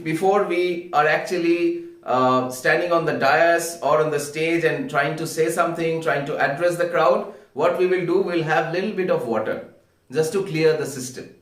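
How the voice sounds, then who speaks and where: A man with an Indian accent giving an instructional talk as a public speaking coach, earnest and deliberate, repeating phrases for emphasis. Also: a little high. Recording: echoey room, distant microphone.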